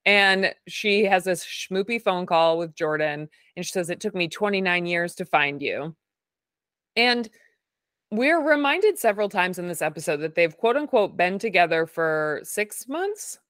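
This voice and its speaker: A woman hosting a casual recap chat in a fairly low, masculine-leaning voice, dry and wry, laughing at her own jokes. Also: expressive, measured pace, a bit bright, dry recording. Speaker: podcast host.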